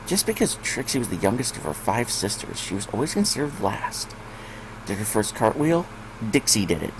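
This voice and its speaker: A man's voice: fairly low pitch, very animated, moderate pace, noisy background. A man narrating fan fiction expressively, as a story reader.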